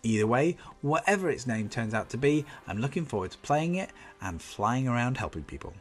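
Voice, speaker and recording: A man's voice: mid-range voice, very animated, fairly quick, soft background music. A man with a stuffy nose from a cold, reading an enthusiastic, upbeat scripted game roundup as a channel host. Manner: enthusiastic, upbeat review delivery.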